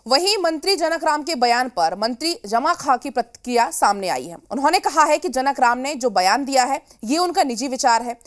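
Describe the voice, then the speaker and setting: A woman's voice: high-pitched, lively intonation, normal speed, hardly any pauses. A woman news anchor presenting live television news in an emphatic, repetitive way.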